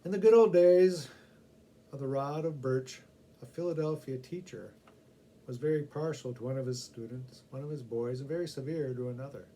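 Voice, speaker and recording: A man's voice: mid-range voice, normal speed, dark tone, low-quality recording. A middle-aged man reading short humorous stories aloud, with casual asides to the listeners. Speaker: story reader. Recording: faint background noise.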